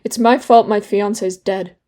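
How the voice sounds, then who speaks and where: A young woman playing a guilt-ridden, wry and bitter therapy patient in an audio drama, speaking candidly and haltingly. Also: mid-range voice, steady tone, warm tone, lightly acted. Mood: guilty, bitter. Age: young adult. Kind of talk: naturalistic audio drama dialogue.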